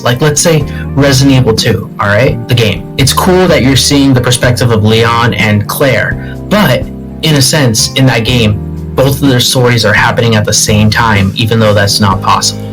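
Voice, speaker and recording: A man's voice: mid-range voice, poor audio. A man hosting a podcast in casual panel discussion. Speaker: podcast host. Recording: music behind the voice.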